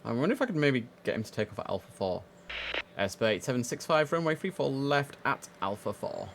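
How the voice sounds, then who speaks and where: A man's voice: mid-range voice, big pitch swings, a little fast, vocal fry. A man giving casual live-stream commentary as he plays air traffic controller, easygoing and half talking to himself, slipping into clipped controller phraseology.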